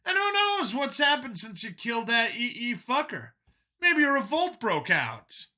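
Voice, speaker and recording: Guy doing a homesick skeptical dude in an audiobook, voice pushed higher, bright, kinda challenging. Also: wildly swooping pitch, a little slow, exaggerated voice. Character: skeptical, homesick human man, plain-spoken companion.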